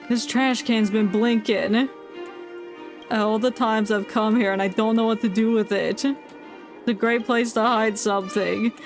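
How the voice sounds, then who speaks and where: A woman livestreaming a video game, chatting casually and irreverently and reading dialogue aloud in a joking way. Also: medium pitch. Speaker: game streamer. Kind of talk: casual livestream play-along commentary. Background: game music.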